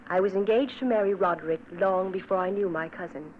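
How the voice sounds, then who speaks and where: A woman playing a gentle, timid young woman in a vintage radio play, speaking softly and solemnly. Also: medium pitch, fairly quick, natural voice. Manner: soft, solemn and hushed. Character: gentle young woman, timid and dutiful, narrating her fate. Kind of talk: 1940s radio acting. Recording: tinny old radio transcription with faint hiss.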